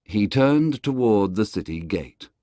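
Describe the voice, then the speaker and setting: Male storyteller, low voice, very lively intonation, dramatic fairy-tale narration. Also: unhurried, no room sound, clean studio sound.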